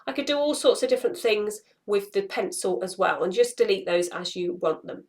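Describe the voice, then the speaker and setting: A woman's voice: medium pitch, animated, fairly quick, a little mellow. A woman around her forties hosting a casual instructional walkthrough, explaining things step by step. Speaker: social media tutorial host. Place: small indoor room.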